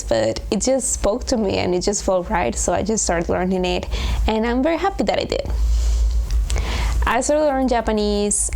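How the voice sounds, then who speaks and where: A woman's voice: mid-range voice, darkish tone, a little hiss or hum. A woman vlogger chatting casually about her own plans in a loose, hesitant, self-deprecating way. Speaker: language-learning vlogger. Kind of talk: casual chat to camera about personal goals.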